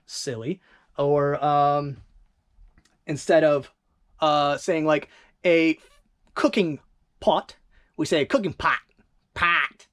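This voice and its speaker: A reseller from Northern Michigan with a Northern Michigan accent, chatting casually on a vlog in a rambling way, correcting themselves with quick asides. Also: medium pitch, steady tone, a bit bright. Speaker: eBay reseller vlogger.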